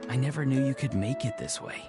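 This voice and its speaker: Man as an earnest young dream interpreter, mid-pitched. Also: fast, natural voice. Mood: casual. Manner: earnest, careful, explanatory. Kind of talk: anime-style video game voice acting. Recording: faint music behind the voice.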